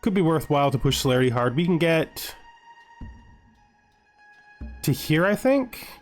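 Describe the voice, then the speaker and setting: A man hosting a gaming video with playful commentary, talking to himself in an animated way and often repeating phrases. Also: a little high, a little slow, soft background music. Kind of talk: playful gameplay commentary with a scripted lore narration.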